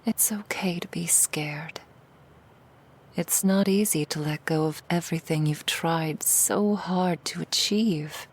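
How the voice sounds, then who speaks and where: A woman playing a seductive female demon in an ASMR roleplay, coaxing the listener in a breathy whisper with soothing, insidious persuasion. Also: low voice, slow pace, exaggerated voice. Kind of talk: ASMR roleplay, intimate monologue.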